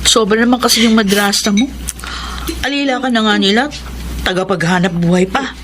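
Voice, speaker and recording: An actress playing a caring, outspoken young friend in a Filipino radio drama, sympathetic and insistent. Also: female voice, mid-range voice, brisk, background noise, lightly acted. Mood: concerned, sympathetic.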